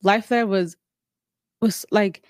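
A woman's voice: mid-range voice, takes it slowly, no room sound, studio quality. A young woman from South Sudan, a podcast guest, talking casually and telling her life story with many fillers. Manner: relaxed, storytelling, frequent "like" fillers. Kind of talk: casual interview chat.

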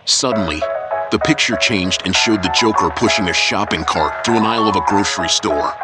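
Male storyteller for audio drama, low voice, scripted narration with music clearly behind. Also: brisk. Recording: loud music under the voice. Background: loud dramatic music.

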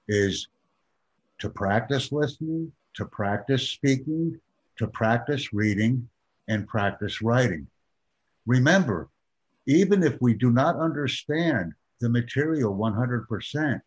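A man teaching an IELTS preparation class in a measured, emphatic way. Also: mid-range voice, breathy edge, rough recording. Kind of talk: classroom instruction.

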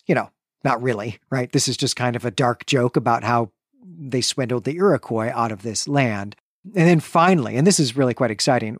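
A man co-hosting a book podcast, giving a measured, analytical recap of the chapter with casual asides. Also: medium pitch, dry recording, clean studio sound. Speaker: podcast co-host.

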